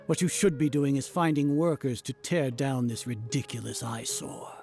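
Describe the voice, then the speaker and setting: Game antagonist played by a man: haughty scholar-official, mid-pitched, pompous, formal, contemptuous, music underneath. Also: normal speed, a little mellow, natural voice. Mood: scornful. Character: haughty authoritarian male grand scholar, contemptuous of art. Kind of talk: video game voice acting.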